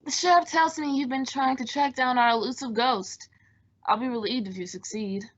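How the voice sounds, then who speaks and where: A woman's voice: high-pitched, normal speed. A woman playing a polite, uneasy village leader in an old-time style radio mystery. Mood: polite concern. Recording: dull lo-fi radio play recording.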